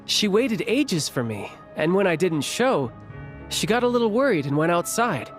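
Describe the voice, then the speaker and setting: Breezy young big brother, fairly high-voiced. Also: male voice, sing-song, normal speed, faint music behind. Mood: teasing. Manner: breezy, familiar. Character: cheerful, teasing young man, apologetic big brother. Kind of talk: animated game cutscene acting.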